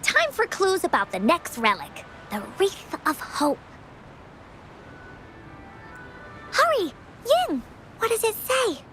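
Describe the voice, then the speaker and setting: Bubbly game girl, super high and swoopy, a bit of hiss behind. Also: a little slow, soft background music, heavily acted. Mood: eager. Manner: bubbly and excitable. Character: cheerful young girl adventurer. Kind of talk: video game voice acting.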